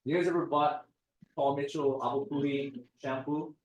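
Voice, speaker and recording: A man's voice: fairly high pitch, steady tone, moderate pace, far from the mic, highs cut off, slight background noise. Man chatting in an echoey room. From Hawaii. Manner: chatty, joking, digressive. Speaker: guest speaker. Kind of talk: casual lecture talk.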